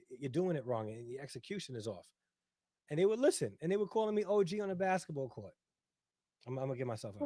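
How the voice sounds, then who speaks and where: A man's voice: on the high side, no room sound. Chatty man hosting a livestream. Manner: talkative, repeats himself, says "right?" often; reads out viewer comments. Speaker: livestream host. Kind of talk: casual livestream commentary.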